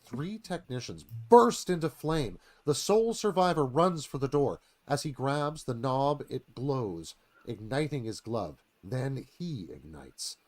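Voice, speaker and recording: Male narrator for a screenplay read, mid-pitched, dramatic and animated in delivery. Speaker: narrator reading stage directions.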